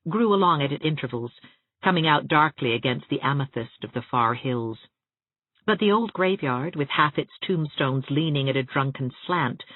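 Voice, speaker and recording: A woman's voice: extremely low pitch, dry recording, phone-quality sound. A woman narrating an audiobook novel in a scripted, literary storytelling style.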